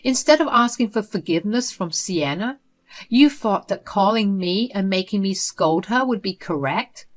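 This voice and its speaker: A woman's voice: mid-range voice, expressive, moderate pace, natural voice. A woman voicing a firm, protective grown son who scolds sternly in a read-aloud story. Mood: stern angry.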